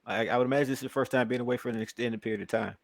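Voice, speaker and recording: A man's voice: medium pitch, steady tone, very fast, creaky, no room sound, studio quality. A man interviewing a young football player, asking friendly questions and teasing him a little. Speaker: podcast interviewer. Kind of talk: casual sports interview.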